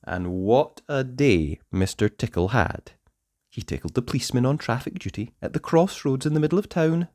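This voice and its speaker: A man narrating a children's storybook aloud in a playful way, with dramatic pauses and emphasis. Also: mid-range voice, animated, dark tone, no echo, clean studio sound.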